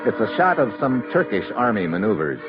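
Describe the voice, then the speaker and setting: An actor playing a hard-boiled, skeptical investigator, wry and terse, in the style of an old-time radio detective drama. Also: male voice, on the low side, big pitch swings, brisk. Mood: matter-of-fact narration. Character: hard-boiled, skeptical male investigator narrating his own case. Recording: dull old radio recording, loud music.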